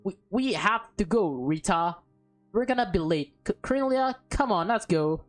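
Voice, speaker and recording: A woman voicing a flustered, evasive teenage schoolgirl from a visual novel, halting and eager to get away. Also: mid-range voice, expressive, close to an everyday voice. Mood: flustered, urgent. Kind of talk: visual novel character read-aloud. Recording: background music under the voice.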